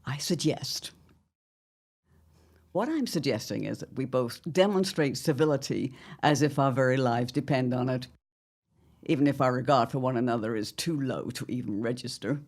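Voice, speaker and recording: A woman playing a domineering older mother-in-law in an audio drama, pompous and condescending, with drawn-out, theatrical emphasis. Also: extremely low pitch, a little mellow. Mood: icy menace. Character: haughty, domineering, sly older mother-in-law, needling and grandiose.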